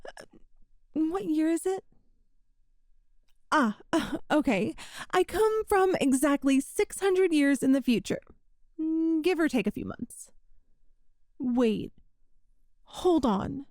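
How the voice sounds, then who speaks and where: A woman's voice: sits high, a little mellow, dry recording, studio quality. A woman playing an awkward, chatty time traveler from the far future in an ASMR roleplay, rambling and hesitant. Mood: flustered, awkward, then embarrassed, chatty. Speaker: audio roleplay performer.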